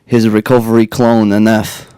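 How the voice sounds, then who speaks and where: A man's voice: fairly low pitch, flat delivery, normal speed, mellow, clean tone, no room sound. A young man from Latvia, a guest rapper chatting in a radio studio, rambling and laid-back with lots of fillers. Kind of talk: casual interview chat.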